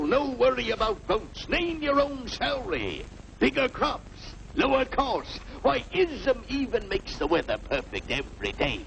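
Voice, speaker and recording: A fast-talking snake-oil salesman from a forties cartoon. His voice is high and creaky, full of bombast and oily flattery. It comes through an old film soundtrack with a little noise. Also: full character voice, rough recording. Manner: bombastic, oily, theatrical pitchman. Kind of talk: 1940s cartoon sales patter.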